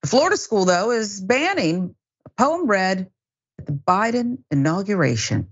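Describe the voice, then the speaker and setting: An American woman presents and discusses the news as a show host. Her voice sits at a medium pitch, and she phrases things deliberately and with emphasis. The audio is somewhat dull and band-limited. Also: sing-song, husky.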